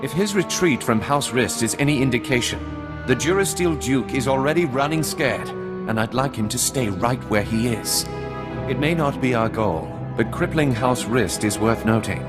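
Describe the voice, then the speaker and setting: Actor as imperious sci-fi baron, echoing hall. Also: male voice, mid-range voice, lively intonation, moderate pace, natural voice. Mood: measured, scheming. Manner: formal, aristocratic phrasing. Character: imperious male sci-fi noble baron, scheming, exasperated father. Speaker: video game voice actor. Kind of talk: dramatic game cutscene dialogue. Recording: long hall reverb, faint music behind.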